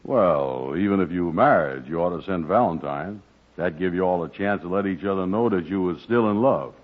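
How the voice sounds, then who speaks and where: An actor playing a slow-witted, gullible, literal-minded man who asks naive questions and muddles words in an old radio sitcom. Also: low-pitched. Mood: curious. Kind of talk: 1940s radio sitcom acting. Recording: noisy, muffled old radio broadcast.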